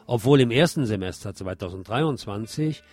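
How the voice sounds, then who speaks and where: A man's voice: lowish voice, lively intonation, normal speed, darkish tone. Man presenting radio commentary over music. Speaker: radio host. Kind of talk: scripted radio commentary and news reading.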